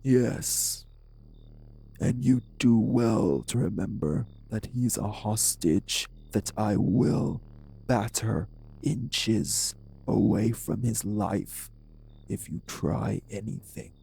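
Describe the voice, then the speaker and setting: A man acts out a refined, bitter vampire sire in an audio drama. His speech is very slow and formal, deeply gravelly and breathy, with cold menace. Music plays behind him. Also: mellow, full character voice. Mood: menacing, mocking. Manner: formal, deliberate, menacing, haughty diction. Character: refined, bitter, possessive old vampire sire, jilted husband. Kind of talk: dramatic audio roleplay acting.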